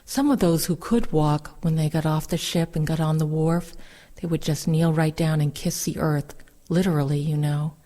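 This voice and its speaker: A woman's voice: low-pitched, steady tone, moderate pace, dark tone. A woman reading first-person war memoirs aloud in a plain, reflective way. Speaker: reader of veterans' oral histories.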